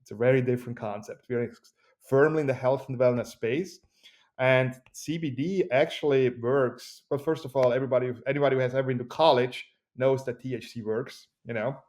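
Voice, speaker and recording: A man with a Swiss accent, a company CEO speaking as a guest in a podcast interview, with an energetic, joking pitchman delivery. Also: fairly quick. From Switzerland.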